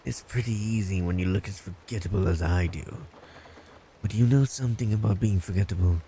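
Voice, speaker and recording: A man's voice: deep voice, brisk, mellow, a little hiss or hum, low-quality recording. A man playing a blunt, smug, creepy lovestruck stalker in an intimate boyfriend roleplay, condescending and teasing. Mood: smug, taunting. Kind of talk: boyfriend roleplay audio, intimate scripted monologue.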